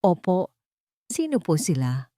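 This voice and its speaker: A woman's voice: on the low side, sing-song, unhurried, warm tone, no room sound, studio quality. A woman voicing a polite, emotional young woman who has been wronged, in an audio story. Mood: polite, surprised.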